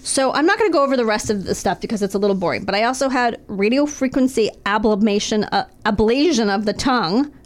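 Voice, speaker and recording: A woman's voice: a little high, expressive, quick pace, hardly any pauses. A woman in casual chat reading medical information aloud with wry, profane asides, recovering from nose and throat surgery.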